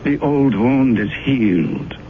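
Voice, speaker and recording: An actor playing a smooth, pious man giving measured, persuasive counsel in a 1970s radio drama. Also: medium pitch, lively intonation. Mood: dismissive. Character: smooth, pious, dismissive male advisor. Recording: dull, noisy old radio broadcast.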